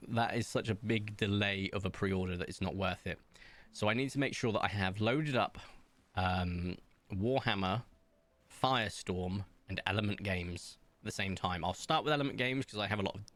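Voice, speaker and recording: A man streaming from home, chatting casually in a rambling, self-mocking way and pausing often. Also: on the low side, fairly bright tone, dry recording. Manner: rambling, self-mocking, hesitant with many ums. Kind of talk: casual chat reading viewer comments while playing.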